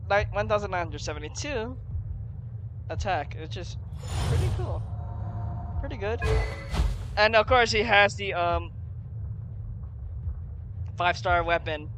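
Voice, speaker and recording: A man casually commenting on his gameplay, relaxed and rambling with fillers. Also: high voice, a little slow, long pauses, noisy background. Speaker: gameplay streamer.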